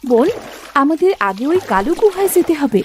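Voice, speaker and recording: A woman's voice: high-pitched, very animated, normal speed, a little hiss or hum. A woman voicing a resolute, earnest young elder sister in a cartoon fairy tale.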